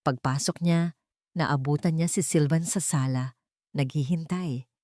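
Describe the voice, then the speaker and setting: A woman's voice: sits low, unhurried, mellow, no room sound, studio quality. A woman storyteller reading a dramatic scripted story as narrator.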